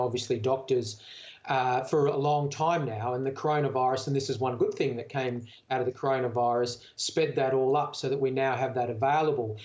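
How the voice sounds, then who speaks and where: A man's voice: mid-range voice, a little mellow, dull audio. A man with an Australian accent speaking conversationally as a medical expert in an unscripted interview. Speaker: doctor, medical association spokesman.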